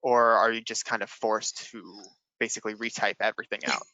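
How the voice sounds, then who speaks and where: A man's voice: fairly low pitch, little pitch movement, a little fast, bright, forceful tone, dry recording, highs cut off. A man hosting a webinar, casually relaying audience questions to the presenter. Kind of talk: casual moderated question and answer.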